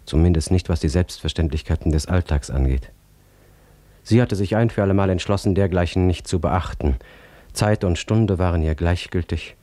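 Reflective male writer, measured narration, deep, mellow, radio play. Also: dry recording. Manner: measured, introspective. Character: reflective male writer recalling a troubled love. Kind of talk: literary radio drama narration.

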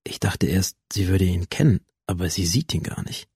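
An actor playing a cold, alienated teenage schoolboy who narrates a radio drama in a detached, brooding, deliberate way. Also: male voice, low-pitched, darkish tone, breathy edge, no room sound, heavily acted. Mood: numb, detached. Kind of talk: first-person radio drama monologue.

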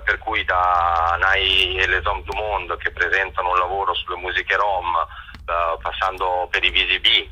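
A man's voice: low-pitched, sharp tone, husky, low-quality recording. A man presenting an event as an interview guest, often drawing out words as he searches for the next one. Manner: halting, searching for words with drawn-out words. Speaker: festival organizer and interview guest.

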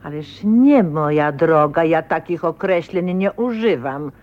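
An actress playing a fussy older aunt in a radio drama, easily scandalized and prone to stammer and repeat herself. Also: female voice, sits low, expressive, measured pace. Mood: coaxing, prim. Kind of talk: Polish radio drama acting. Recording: dull, noisy archive radio recording.

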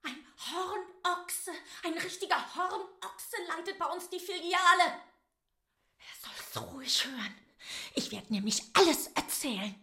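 A woman's voice: very high voice, lively intonation, a little slow, bright, forceful tone, full character voice. An actress playing a hysterical, indignant young woman clerk in a radio play, gossiping in exasperation. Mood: furious. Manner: gossipy, exasperated, whispering and wailing by turns. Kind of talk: German radio play acting.

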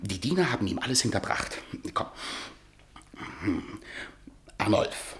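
Excitable middle-aged playwright, very animated. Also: male voice, on the low side, a little slow. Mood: reading aloud. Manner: theatrical, swinging between musing and excitement. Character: exuberant middle-aged male playwright and actor, excitable, theatrical. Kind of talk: German radio drama acting.

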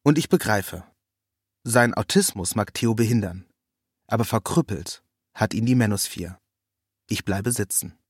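A man's voice: on the low side, expressive, measured pace, pauses often, husky, no room sound. Male narrator reading a dry, close-up story. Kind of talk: scripted first-person literary narration.

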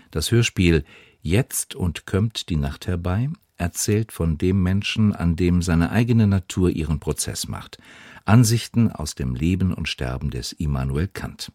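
Very deep-voiced radio announcer, man. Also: a little mellow, no room sound, clean studio sound. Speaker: radio announcer introducing a radio play. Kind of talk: scripted broadcast introduction. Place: dry broadcast studio.

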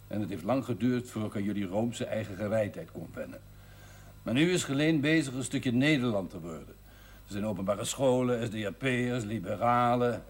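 A blunt northern village doctor. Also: male voice, on the low side, darkish tone. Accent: Dutch from the north, with hard G. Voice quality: blunt, lecturing, gruff. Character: blunt outspoken male village doctor, middle-aged. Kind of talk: 1970s TV drama acting. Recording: 1970s TV soundtrack with faint hiss.

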